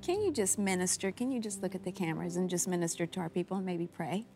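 Female co-host, earnest and emphatic, lowish voice, faint music behind. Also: quick pace. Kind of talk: talk show interview.

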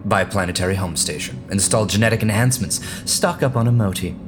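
An actor playing a nervous, indignant associate in a sci-fi audio drama, earnest and easily flustered. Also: male voice, lowish voice, quick pace, background noise, natural voice. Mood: earnest bargaining. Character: nervous, indignant male associate of a wealthy doctor.

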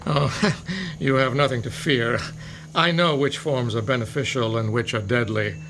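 A man's voice: medium pitch, moderate pace, a bit bright, a little hiss or hum, lightly acted, poor audio. An actor playing a male professor who lectures patiently about fungi in an audio drama. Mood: lecturing. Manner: lecturing, explanatory. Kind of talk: modern audio drama acting.

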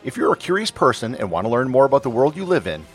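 A man with a gravelly, husky voice narrating a scripted podcast promo. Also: mid-range voice, very animated, quick pace, faint music behind.

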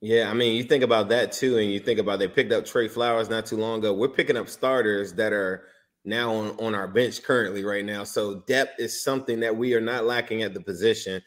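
A man's voice: on the low side, steady tone, a little fast. A man hosting a casual sports podcast, asking enthusiastic, rambling questions full of fillers. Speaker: podcast host.

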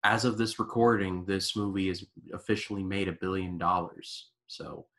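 A man's voice: deep voice, steady tone, moderate pace, fairly bright tone. A man guesting on a casual podcast, enthusiastic and repetitive, full of "like" fillers. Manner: enthusiastic, repeats himself, many "like" fillers.

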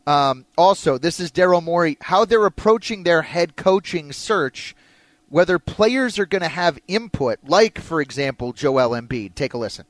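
A man's voice: on the high side, lively intonation, moderate pace, no echo. An American man hosting an opinionated sports talk radio show, chatting with a co-host in a studio. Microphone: close studio mic.

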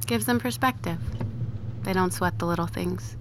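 A woman's voice: lowish voice, normal speed, background noise, natural voice. A woman playing a blunt, sardonic, weary emergency-room nurse with a morbid streak in an audio drama. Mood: grim, matter-of-fact. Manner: blunt, matter-of-fact, sardonic. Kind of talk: audio fiction acting.

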